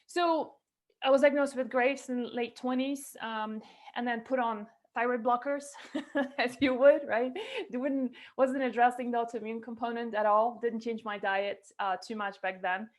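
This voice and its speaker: A middle-aged woman guest on a video call, telling her own story with animated gestures and frequent fillers and restarts. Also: a little high, moderate pace. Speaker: guest, nutrition coach. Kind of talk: candid interview storytelling. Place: indoors at a window with a snowy forest view. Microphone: video call, webcam.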